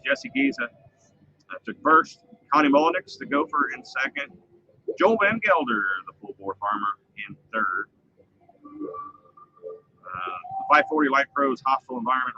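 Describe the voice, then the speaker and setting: Lively man reading tractor-pull results. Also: medium pitch, slight background noise. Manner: lively, reads out lists of results. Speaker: co-host recapping pulling results. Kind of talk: casual live show chat. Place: outdoors at a state fair. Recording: slightly dull live stream sound with faint fair ambience.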